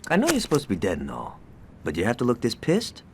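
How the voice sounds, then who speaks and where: A brash, hot-headed American gang lieutenant in a crime game. His delivery is sarcastic and foul-mouthed, leaping up and down in pitch from a middle register. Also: huge pitch swings, quick pace, heavy background noise. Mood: sardonic. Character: cocky, profane, hot-headed male street gang lieutenant. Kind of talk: video game cutscene acting.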